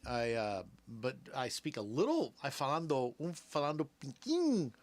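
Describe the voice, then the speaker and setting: A man born in Cuba, a native Spanish speaker, hosting a casual radio chat about taxes, chatty and quick to laugh. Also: mid-range voice, big pitch swings, a little mellow. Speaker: radio host, tax preparer.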